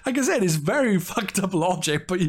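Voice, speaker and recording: A young man with a Welsh accent talks loosely and rambles, laughing often between thoughts. His voice sits a little high for a man. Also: lively intonation, a little fast. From Wales. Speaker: podcast host. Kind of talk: casual chat.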